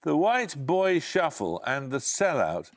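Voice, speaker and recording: A man hosting a televised quiz, reading questions and calling answers in a brisk, authoritative way. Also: fairly high pitch, very animated, slight background noise. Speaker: quiz show host.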